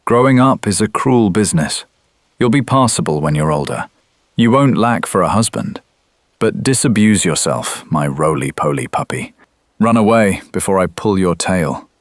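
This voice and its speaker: An actor playing a cynical, cruel, scheming Roman patrician in an audio drama, with a mocking, theatrical delivery. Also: male voice, low-pitched, animated, normal speed, dry recording, clean studio sound. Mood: mocking cruelty.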